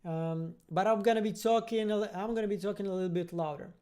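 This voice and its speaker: A livestream host with a soft-edged, mellow voice. The talk is friendly and informal, wandering and halting while reading out and answering chat comments. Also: medium pitch, steady tone. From born in Russian-speaking Ukraine, raised from age ten in Israel. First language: Russian. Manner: friendly, rambling, halting, searching for words. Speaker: host of a fan review livestream. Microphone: computer-connected microphone on a livestream.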